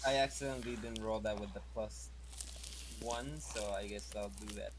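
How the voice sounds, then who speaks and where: A man playing a tabletop game and chatting casually, sounding quiet and distant in a noisy recording. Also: on the low side, steady tone, normal speed.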